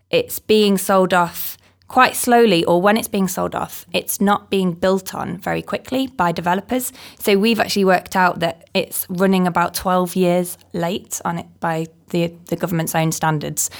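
A woman walks through research findings in a calm, explanatory manner on a discussion panel. Her voice sits on the low side, with a steady gravelly fry running through it. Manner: measured, explanatory.

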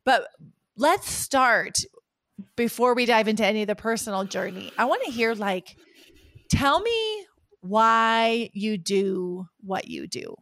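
A woman's voice: a little high, very animated, slow, fairly bright tone, no room sound, studio quality. A woman hosting a podcast interview, upbeat and laughing easily as she introduces her guest and asks questions. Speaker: podcast host.

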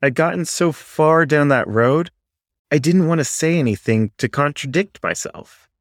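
A man's voice: mid-range voice, no room sound, clean studio sound. A man narrating a first-person story in an earnest, confessional manner.

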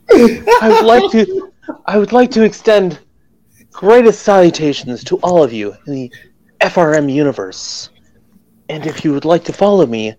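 A man giving a slow, mock-grandiose sign-off as a podcast guest. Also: a little high, darkish tone, dry recording, rough recording.

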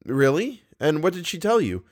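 A man's voice: fairly high pitch, sing-song, moderate pace, voice pushed up. A man reading aloud, voicing a confused, earnest young nobleman who stammers now and then. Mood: bewildered.

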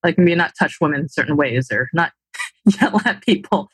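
A woman's voice: sits low, lively intonation, normal speed, breathy edge, dry recording, low-quality recording. A woman with a New Jersey accent, talking enthusiastically as an interview guest and often ending points with "right?". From United States. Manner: enthusiastic, persuasive, frequent "right?" tags. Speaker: guest, tech founder. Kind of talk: interview conversation.

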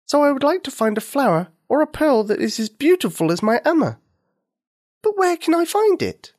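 A woman voicing a loving, earnest little girl in a children's story, with a high, pleading childlike voice. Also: sing-song, normal speed, darkish tone.

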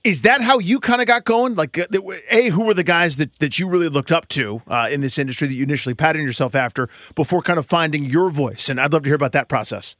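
A man's voice: on the high side, fast talker, few pauses, fairly bright tone, no room sound, studio quality. A man co-hosting a sports podcast, asking questions in a casual conversational style. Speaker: podcast co-host, interviewer. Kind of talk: casual interview chat.

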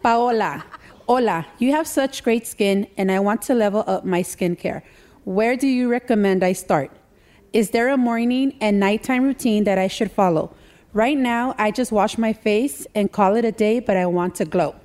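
Audience member, woman, medium pitch, reads a written question aloud in a theater. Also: moderate pace, a little mellow. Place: live theater event.

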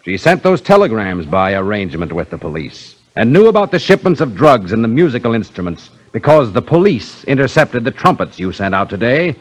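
Old radio detective inspector, clipped confident talk, really varied pitch. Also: male voice, sing-song, a little fast, hardly any pauses. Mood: authoritative. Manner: clipped, assured, explanatory. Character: brisk, authoritative police inspector exposing the culprits. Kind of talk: 1950s radio drama acting.

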